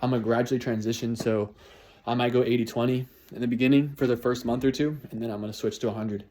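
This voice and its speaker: A man's voice: medium pitch, fairly even intonation, fast talker, a little mellow, slight background noise. Young man musing on his resolutions. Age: 20s. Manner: repetitive, motivational, restarts phrases. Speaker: vlogger. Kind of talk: casual New Year's resolutions monologue.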